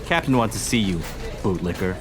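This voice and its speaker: Young army bully, mocking, slangy, brash, highly animated pitch, music behind. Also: male voice, a little fast. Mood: sneering, taunting. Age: young adult. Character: cocky, taunting young male army conscript.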